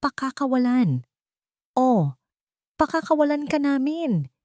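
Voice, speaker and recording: A woman's voice: high-pitched, very animated, takes it slowly, darkish tone, no room sound, pitched above the performer's own voice, full character voice. Cold, scheming male villain for audio fiction, read by a woman, threatening, swooping intonation, dry sound. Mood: cold menace. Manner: threatening, taunting. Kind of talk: audio story character dialogue.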